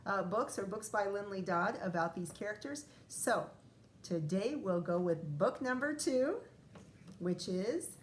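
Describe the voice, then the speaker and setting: Unhurried woman teacher reading to young children. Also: mid-range voice, a little hiss or hum. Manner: expressive, drawn-out reading with chatty asides to children. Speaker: first-grade teacher. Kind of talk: read-aloud picture book storytelling for young children.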